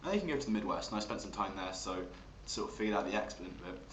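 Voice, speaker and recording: An Englishman talks casually, introducing character voices as an amateur performer. His own voice sits fairly low. The room is echoey and there is faint background noise. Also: expressive, fast talker. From England. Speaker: amateur voice actor. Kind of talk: casual chat introducing accent impressions. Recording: roomy, noisy home recording.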